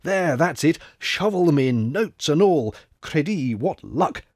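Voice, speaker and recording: A man voicing a boisterous, crafty old French ex-soldier in an audiobook, all exclamations and flattery. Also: a little high, unhurried, dry recording, clean studio sound, pitched above the performer's own voice. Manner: blustering, exclamatory, drops into confidential tones. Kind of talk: audiobook character voice.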